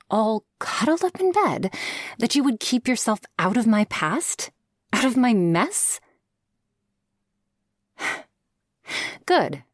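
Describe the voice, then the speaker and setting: A woman's voice: medium pitch, no echo, clean studio sound. A woman playing a tough, sassy shark-girl girlfriend in an intimate ASMR roleplay, blunt and sardonic.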